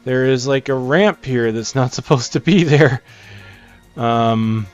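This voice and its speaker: A man's voice: medium pitch, slow, muffled recording. A man giving casual, unscripted gameplay commentary as he presents a game, rambling and thinking aloud with game music behind him. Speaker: gamer presenting a game preview.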